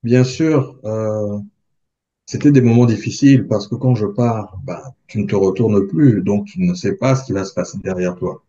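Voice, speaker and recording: A man in his forties from the Republic of the Congo, a podcast guest who speaks in a measured, thoughtful way with frequent hesitations. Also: fairly low pitch, dark tone, dull audio. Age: 40s. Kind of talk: reflective interview talk.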